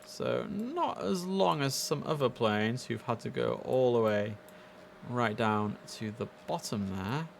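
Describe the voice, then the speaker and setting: A man's voice: medium pitch, animated, a little slow, vocal fry, a little hiss or hum. A young man giving chatty, enthusiastic casual commentary as he streams a game live from indoors. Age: young adult. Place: indoors at a computer.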